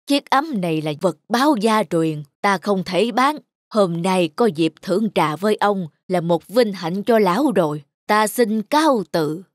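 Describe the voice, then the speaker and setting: A woman voicing a poor, humble old man who is a tea connoisseur in a cartoon folktale, speaking in a slow, courteous, old-fashioned way. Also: mid-range voice, expressive, no room sound, studio quality, close to an everyday voice. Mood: humble polite. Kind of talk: dubbed cartoon folktale acting.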